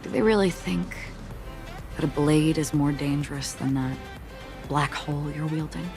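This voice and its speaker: A mysterious female wanderer from a video game. Her voice sits low for a woman and stays calm, soft-spoken and reflective. Music plays faintly behind her. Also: normal speed. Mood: solemn, reproving.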